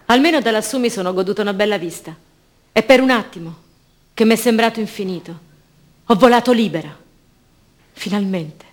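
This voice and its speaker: A woman's voice: mid-range voice, fairly quick, long pauses, lightly acted. An actress playing a dead young mother, one of the disappeared, wistful and tender, in a radio theatre monologue. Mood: wistful, wry.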